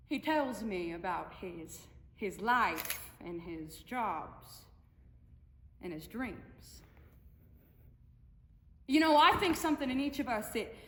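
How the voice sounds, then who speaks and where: A young woman performing a dramatic monologue as a brash, sparkling silent film comedienne, showy and theatrical, with conversational stammers. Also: a little high. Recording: echoey hall, distant, noisy room.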